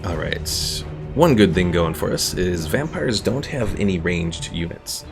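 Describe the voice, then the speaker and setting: Deep gravelly man thinking aloud. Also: expressive, measured pace, music in the background. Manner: thinking aloud, unsure, low-key. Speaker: streamer. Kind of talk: casual gameplay commentary.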